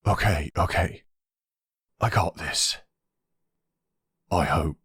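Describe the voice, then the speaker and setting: A man's voice: deep voice, takes it slowly, fairly bright tone, husky, no echo, studio quality, full character voice. A man playing a panicky, dim young kidnapper in an audio roleplay, stammering and talking in bro slang. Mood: nervous.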